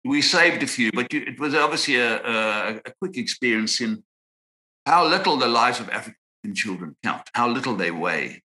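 Bright-voiced thoughtful man in his sixties. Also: medium pitch, expressive, poor audio. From Zimbabwe. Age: 60s. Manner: measured, thoughtful, pauses and restarts. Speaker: guest psychiatrist. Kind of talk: reflective interview answers.

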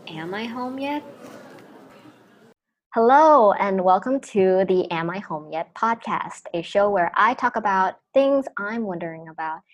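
A woman's voice: medium pitch, lively intonation, unhurried, lo-fi sound, a little hiss or hum. A woman in her twenties hosting a podcast, chatting casually and explaining at length. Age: 20s. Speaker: podcast host.

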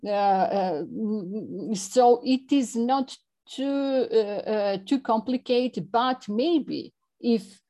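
A Serbian woman answers interview questions in English, her second language, pausing often with fillers and restarts. She speaks slowly. Her voice is soft and mellow, never sharp. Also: fairly high pitch. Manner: halting, many fillers and restarts. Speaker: interview guest, chapter representative. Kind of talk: non-native conversational English interview.

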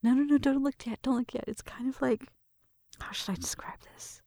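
Breathy, whispery flirty roommate played by a woman. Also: high-pitched, a little fast, no echo, clean studio sound. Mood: playful, admiring. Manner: soft whispering mixed with murmured talk, shy and teasing. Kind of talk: ASMR roleplay, intimate one-sided conversation.

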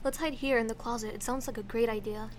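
A woman's voice: fairly high pitch, steady tone, quick pace, natural voice. A woman playing a nervous cruise passenger in an amateur audio drama. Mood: anxious. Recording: very noisy homemade recording.

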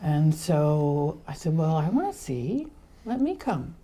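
A middle-aged woman born in Argentina and raised in Los Angeles, recalling her past with animated, laughing storytelling. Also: deep voice, slow pace, husky. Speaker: interview guest, photographer. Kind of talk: casual storytelling.

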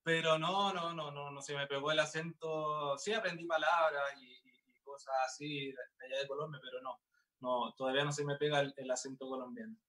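A man's voice: fairly high pitch, pushed and bright. A young man, a singer chatting in an interview, upbeat and full of fillers and restarts. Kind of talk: casual interview chat.

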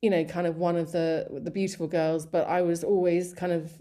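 Mellow-voiced chatty woman in her fifties. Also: lowish voice, flat delivery, a little fast. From Sri Lanka, raised in England. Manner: rambling, chatty, many fillers and restarts. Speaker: guest. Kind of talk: casual conversational interview.

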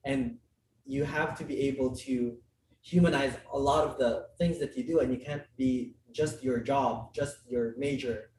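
A man's voice: mid-range voice, expressive, moderate pace, a little mellow. Young Filipino man chatting in roomy space. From Philippines. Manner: chatty, many fillers and restarts, laughs often. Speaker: guest, business school student. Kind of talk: casual podcast conversation. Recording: roomy, distant mic, faint hiss.

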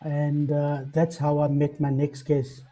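A man hosting a casual interview, relaxed and friendly, with frequent fillers. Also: fairly high pitch, unhurried. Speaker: podcast host.

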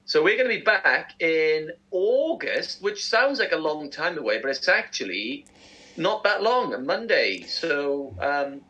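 A man's voice: fairly high pitch, a little slow, husky, muffled recording. A man in his forties co-hosting a casual breakfast radio show, ranting and laughing as he talks. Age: 40s. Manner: ranting, animated, laughs often. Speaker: radio co-host.

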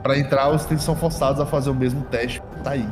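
A Brazilian man improvising tabletop game narration as game master, halting and often repeating his phrases. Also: mid-range voice, steady tone. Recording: long hall reverb, music behind.

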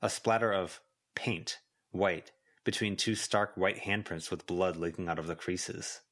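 A man's voice: low voice, measured pace, fairly bright tone. A man reading scripted fiction as a volunteer audiobook narrator.

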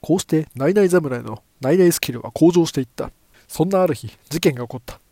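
A man's voice: on the high side, lively intonation, fast talker, mellow, no room sound, studio quality. A man narrating a radio drama in a scripted storytelling style.

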